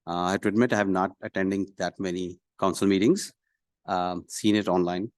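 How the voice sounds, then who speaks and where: A middle-aged man from Pakistan introducing himself as a candidate at a public meeting, pausing often mid-phrase. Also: sits low, steady tone, darkish tone, no echo, clean studio sound. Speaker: city council candidate. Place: council meeting room, speaking at a podium.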